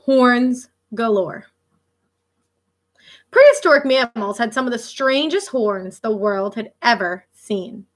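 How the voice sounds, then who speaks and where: A woman reading a children's nonfiction book aloud like an elementary teacher, expressive and child-directed, pausing for casual asides. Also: a little high.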